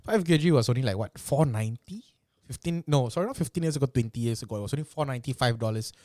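A man's voice: medium pitch, racing, warm tone, no echo, clean studio sound. A middle-aged man from Singapore with a Singaporean English accent, co-hosting a casual chat and rambling with lots of restarts. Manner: rambling with many restarts, mock-exasperated. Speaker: podcast co-host.

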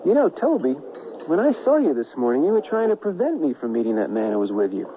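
An actor playing an earnest, probing newspaper reporter with an insistent, oratorical manner in a radio western. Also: male voice, on the high side, big pitch swings, fast talker. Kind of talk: old-time radio western acting. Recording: tinny, noisy narrow-band radio recording.

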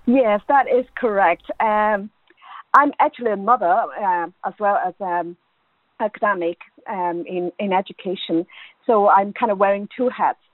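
A woman's voice: medium pitch, normal speed, no echo. A middle-aged woman from Hong Kong and Ireland, an academic guest telling personal stories in an informal, chatty interview style. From born in Hong Kong, grew up in Ireland. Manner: informal, chatty, peppers talk with "you know". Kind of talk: conversational interview, personal anecdotes. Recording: thin, band-limited phone-like line.

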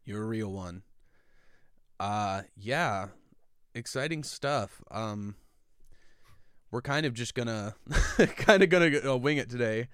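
A man's voice: mid-range voice, lively intonation, slow pace, long pauses, fairly bright tone, clear, resonant tone, no room sound, studio quality. A young man hosting a podcast in easygoing casual chat, laughing often and using lots of fillers. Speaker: podcast host and editor.

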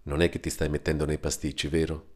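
A man voicing a worried, patient man who questions his brother calmly. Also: very deep voice, fast, voice pushed down. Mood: cautious. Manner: calm, cautious, probing.

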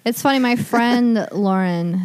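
A woman's voice: fairly high pitch, a little slow, clean tone, no room sound. Chatty young woman, dry sound. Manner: chatty, animated storytelling with frequent filler likes. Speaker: podcast co-host. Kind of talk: casual chat.

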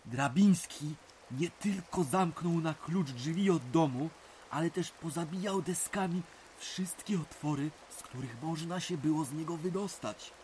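An actor playing a rustic, colloquial village storyteller narrating a local legend in an unhurried way in an audio drama. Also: male voice, a little high, darkish tone, a little hiss or hum, natural voice. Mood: calm storytelling.

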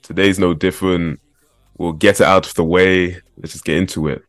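A man's voice: deep voice, steady tone, normal speed, fairly bright tone, no room sound. A man hosting a casual podcast interview, chatty and laid-back with plenty of slang. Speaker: podcast host.

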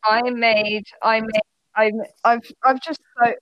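A woman's voice: on the high side, little pitch movement, slow, no room sound, dull audio. A young woman hosting a casual podcast chat with friends over a call, giggly and tripping over her words. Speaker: podcast host.

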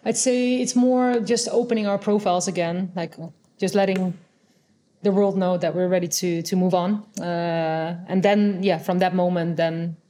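Dutch woman with a mellow voice. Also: lowish voice, fairly even intonation, moderate pace, a little hiss or hum. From Netherlands. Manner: thoughtful, with frequent restarts and fillers. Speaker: guest, international teacher. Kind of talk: casual interview conversation.

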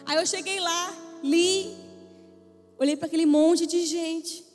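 A woman's voice: extremely high pitch, fairly even intonation, takes it slowly, a little mellow, in a large hall, soft background music. A woman preacher telling a humorous personal testimony to a church audience, acting out the voices she quotes. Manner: playful storytelling, acts out quoted voices.

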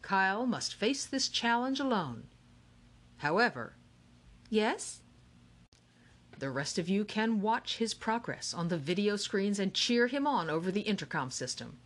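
A woman's voice: medium pitch, big pitch swings, unhurried. A woman voicing an eccentric, playful grown man who runs a game, speaking theatrically in an audiobook. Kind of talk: audiobook character reading.

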